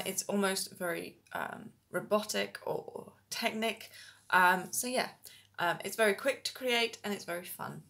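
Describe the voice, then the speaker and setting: A young woman, slightly hoarse from a cold, walks through a makeup tutorial at home. She talks in a relaxed, step-by-step way with frequent ums, speaking to a camera about arm's length away in a small room. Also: mid-range voice, slight background noise. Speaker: makeup vlogger.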